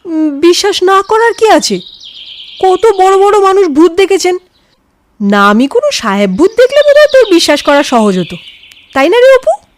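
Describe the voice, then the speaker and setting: A woman's voice: very high-pitched, sing-song, normal speed, pauses often, a little hiss or hum, voice pushed up. A woman voicing a nervous, ghost-fearing young man who complains and hesitates in an audio story. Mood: defensive. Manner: hesitant, aggrieved.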